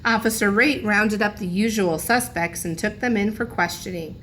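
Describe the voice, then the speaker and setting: A woman teacher reading a children's storybook aloud in a deliberate, expressive way. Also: medium pitch. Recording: background noise in room recording.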